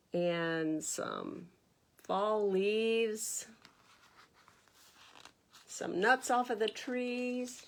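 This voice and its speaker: Slow-paced American woman reading at storytime. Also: a little high. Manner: animated teacherly asides, asking the listeners questions. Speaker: library storytime host reading a picture book. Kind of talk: read-aloud storytime for young children.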